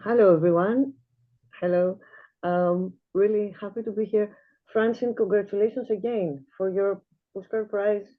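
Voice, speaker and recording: Woman, guest reader, calm measured delivery, mid pitch, thin call audio. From Greece. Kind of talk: reading her flash fiction aloud. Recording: tinny video-call audio.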